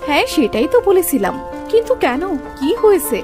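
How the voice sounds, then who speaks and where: A woman voicing an affectionate, easily amazed village mother in a children's cartoon, expressive and exclaiming, with music behind. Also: high-pitched, a little fast.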